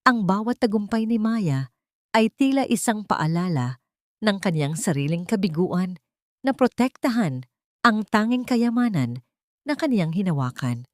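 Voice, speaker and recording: A woman with a Filipino accent reading a scripted dramatic story in a measured, solemn, sentimental way. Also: medium pitch, animated, no echo, studio quality. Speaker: audio story narrator.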